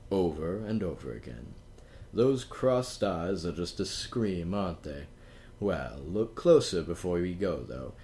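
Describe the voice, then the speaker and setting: A man's voice: lowish voice, darkish tone, slight background noise. A man narrating a storybook, slipping into playful, teasing asides to the listener. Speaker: audiobook narrator. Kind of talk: storybook reading.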